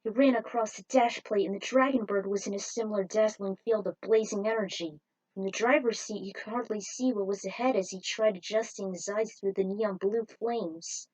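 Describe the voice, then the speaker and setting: A woman's voice: mid-range voice, steady tone, normal speed, darkish tone, low-quality recording. Woman narrating an amateur story. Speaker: narrator reading own fan fiction.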